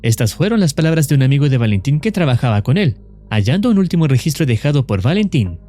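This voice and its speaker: A man reads scripted narration as the narrator of a horror explainer, in a measured storytelling manner. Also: mid-range voice, big pitch swings, dark tone, soft background music.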